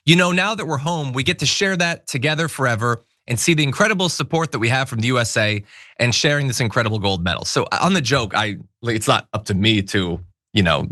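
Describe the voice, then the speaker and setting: A man's voice: mid-range voice, pushed and bright, no echo, clean studio sound. A man hosting a political talk show, giving casual, opinionated commentary in an emphatic, informal, wry way.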